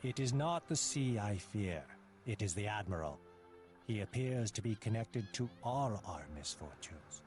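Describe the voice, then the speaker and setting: A man playing a dutiful, serious ship's captain in a video game cutscene, speaking in a measured, concerned way. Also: fairly low pitch, animated, a little mellow, soft background music. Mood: grave.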